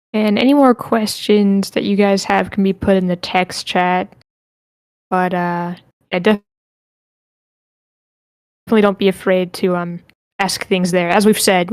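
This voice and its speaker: A woman's voice: medium pitch, darkish tone, dry recording. Casual woman moderating, close dry sound. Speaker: host moderating a script feedback session. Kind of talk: casual meeting moderation, reading chat messages aloud. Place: online group meeting.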